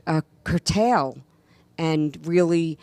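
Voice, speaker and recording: A woman's voice: sits low, slow, warm tone, no room sound. A woman chatting casually as an interview guest, speaking in an emphatic, enthusiastic way. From New York, USA. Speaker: guest, actress and stand-up comedian.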